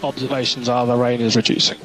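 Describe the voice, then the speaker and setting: A man's voice: medium pitch, level tone, fast, a bit bright, soft background music. A man working as a race engineer, giving clipped instructions over team radio and repeating the key calls. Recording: noisy team radio transmission.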